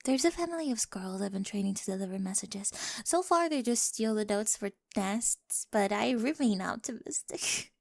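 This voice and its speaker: A young woman playing a quirky, awkward, talkative high school girl with a crush in an ASMR roleplay, rambling eagerly and often slipping into breathy whispers. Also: lively intonation, normal speed, no room sound, studio quality. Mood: soft, inviting. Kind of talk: scripted ASMR roleplay, addressed to the listener. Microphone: close, dry studio-style microphone.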